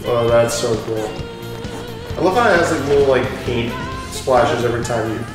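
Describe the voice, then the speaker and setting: A man's voice: medium pitch, normal speed. Echoey, distant male let's play host. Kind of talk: casual chat and reading game text aloud. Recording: echoey room, far from mic, game music. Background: game music.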